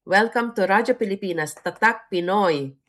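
A woman's voice: mid-range voice, normal speed. A woman co-hosting a radio program, introducing her guests with enthusiasm. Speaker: radio co-host.

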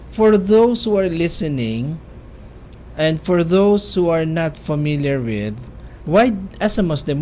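A man with a Filipino accent hosting an interview in English mixed with Tagalog, halting often with fillers and restarts. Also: sits high, darkish tone, a little hiss or hum. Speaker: radio or show host.

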